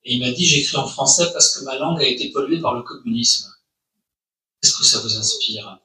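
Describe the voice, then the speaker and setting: Male moderator, formal questions with some hesitation; sharp, bright voice, mic at a distance. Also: medium pitch, a little fast, reverberant. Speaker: interviewer. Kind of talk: moderated public conversation. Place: university lecture hall.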